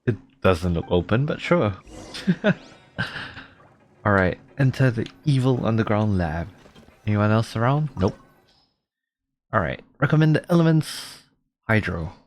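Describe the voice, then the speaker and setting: A man's voice: fairly low pitch, animated, unhurried, long pauses. A man giving casual let's play commentary as a streamer, often reading game prompts aloud. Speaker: streamer playing a video game. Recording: game music behind the voice. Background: video game music.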